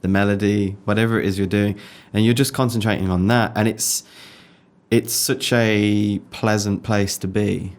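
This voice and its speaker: A man's voice: fairly low pitch, fairly even intonation, normal speed. A man from England with a Birmingham accent, chatting reflectively as a podcast interviewer and often repeating his phrases. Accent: Birmingham English. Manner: reflective, repeats phrases, many "you know" and "like". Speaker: podcast interviewer, music photographer. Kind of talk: casual conversation.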